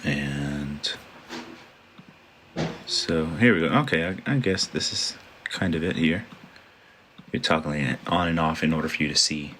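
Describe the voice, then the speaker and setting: A man's voice: rumbling low, unhurried, pauses often, fairly bright tone, a little hiss or hum. A man with a congested voice, a photographer casually talking through a photo edit step by step and thinking aloud as he works. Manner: thinks aloud while working, informal and chatty. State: congested from allergies. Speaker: photographer teaching photo editing. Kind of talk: casual screen-recorded tutorial.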